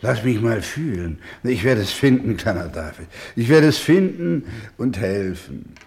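An actor playing a weary, tender orphanage doctor in a German radio play, speaking gravely and gently. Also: male voice, lowish voice, sing-song, a little slow.

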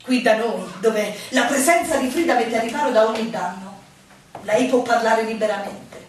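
A woman's voice: fairly high pitch, normal speed, slight background noise, natural voice. An actress playing a stern, condescending innkeeper woman in an Italian radio play, speaking in a formal, admonishing way. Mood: stern, warning. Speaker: stage actor in a radio play. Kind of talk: theatrical radio drama dialogue. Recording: very echoey, distant, music behind.

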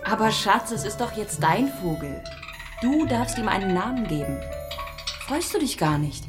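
A woman's voice: mid-range voice, very animated, normal speed, natural voice. A woman playing a cheerful, doting mother in a children's radio play, coaxing in a singsong way. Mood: bright, coaxing. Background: music.

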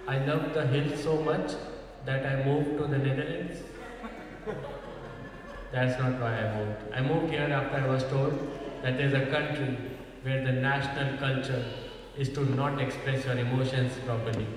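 A man's voice: medium pitch, steady tone, normal speed, a crowd in the background. A young man from North India with an Indian accent performs stand-up comedy, bantering with the audience in a dry, teasing way. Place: echoing church hall at a festival. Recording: distant mic, very echoey hall, audience noise.

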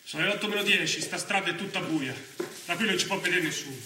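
An actor playing an anxious young Roman militant in a theatrical monologue, halting and colloquial, with a Roman accent. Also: male voice, high-pitched, lightly acted. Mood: tense. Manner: halting, repeating himself, colloquial. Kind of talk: theatrical first-person stage monologue. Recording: roomy stage sound, distant mic, faint noise.

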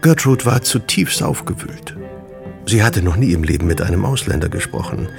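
A man's voice: low-pitched, very animated, normal speed, vocal fry, music in the background. A man reading scripted literary narration as the narrator of a radio drama.

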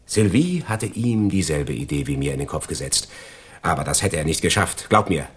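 An actor playing a gloating, envious crook in a German radio crime drama, sardonic and lecturing in a mock-polite way. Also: male voice, a bass voice, sing-song, normal speed, breathy edge, full character voice. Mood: smug, sardonic. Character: gloating, envious male crook, betraying his friend.

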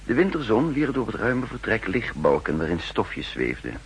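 An actor playing an older, wry and condescending spy chief who also narrates in the first person, in 1960s radio drama style. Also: male voice, on the low side, huge pitch swings, moderate pace, creaky, full character voice. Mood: brooding, confiding. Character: aging spy chief and cryptographer, wry, secretly scheming. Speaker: narrator and lead character. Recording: humming, noisy 1960s radio recording.

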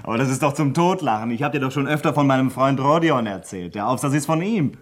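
An actor playing a sceptical, down-to-earth nephew in a radio drama, husky and incredulous in his brisk, colloquial talk. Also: mid-range voice, lively intonation, natural voice. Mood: amused disbelief.

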